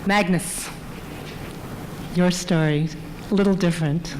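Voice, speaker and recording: Lowish-voiced female panel moderator. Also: fairly even intonation, slow, a little mellow, rough recording. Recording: live conference room, background noise.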